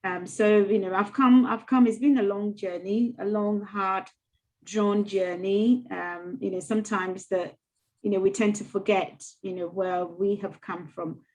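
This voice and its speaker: Soft-spoken woman, halting speech. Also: medium pitch, steady tone, poor audio. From Ghana. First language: not English. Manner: soft, halting, with many fillers and restarts. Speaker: mayor, interview guest. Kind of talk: casual interview conversation.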